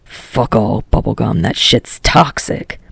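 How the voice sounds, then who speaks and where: A woman voicing a gruff, foul-mouthed teenage boy with a rough growl and a curt, irritable manner in an audio story. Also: very deep voice, slow pace, fairly bright tone, dry recording, studio quality, voice pushed down, exaggerated voice. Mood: grumbling. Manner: curt, snapping, swearing.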